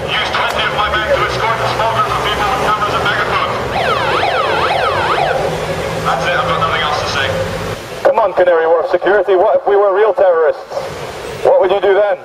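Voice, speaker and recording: A man makes deadpan mock-official announcements to passers-by through a megaphone, like a street performer. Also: high-pitched, fairly quick, pauses often. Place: outdoors on a busy city street. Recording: noisy outdoor street recording with vehicles.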